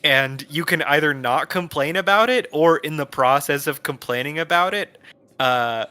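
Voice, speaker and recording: A man's voice: a little high, moderate pace, pushed and bright, clear, resonant tone. A man casually chatting on his gameplay stream, wry and laughing, reading viewer comments aloud. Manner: wry, reads chat messages aloud.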